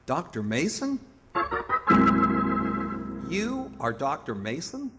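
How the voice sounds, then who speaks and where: An actor playing an earnest, careworn hospital superintendent in radio theatre, halting and emphatic. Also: male voice, on the high side, wildly swooping pitch, loud music behind the voice, heavily acted. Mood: unnerved.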